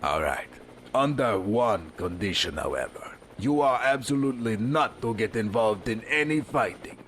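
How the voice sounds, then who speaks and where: An actor playing a stern, brisk special forces colonel in a radio drama, clipped and authoritative. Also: male voice, fairly low pitch, animated, fairly bright tone, slight background noise. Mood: firm.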